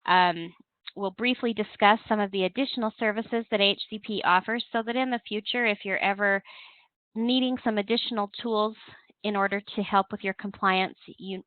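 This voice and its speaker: A woman's voice: mid-range voice, steady tone, moderate pace, dry recording. Woman presenting over a thin phone line. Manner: explanatory, step by step. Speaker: presenter, compliance software trainer. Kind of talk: instructional webinar walkthrough, semi-scripted. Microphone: telephone conference call.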